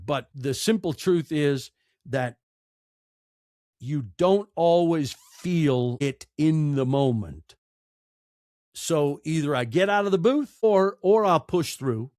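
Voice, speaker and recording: Middle-aged American male podcast guest. Candid, heartfelt, emphatic talker, higher-than-average register, dry sound. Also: darkish tone, no room sound. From United States. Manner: emotional, earnest, emphatic with repeated phrases. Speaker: interview guest, audiobook narrator and podcaster. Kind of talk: candid conversational interview answers.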